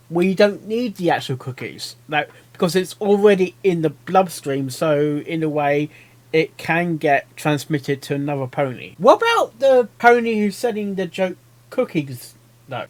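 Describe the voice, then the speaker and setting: A man giving casual reaction commentary, rambling and often repeating himself as he thinks aloud. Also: high voice. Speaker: reaction video host.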